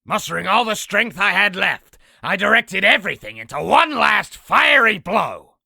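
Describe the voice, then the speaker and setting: High, gravelly, boastful demon. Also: male voice, very animated, moderate pace, pushed and bright, full character voice. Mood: gloating. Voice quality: raspy, gravelly. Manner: theatrical, self-aggrandizing. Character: boastful male demon telling his own exaggerated battle story. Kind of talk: comic dub character narration.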